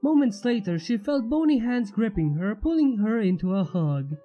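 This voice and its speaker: A woman's voice: mid-range voice, lively intonation, moderate pace, muffled recording, faint music behind. A woman reading fan fiction aloud as a scripted story narration.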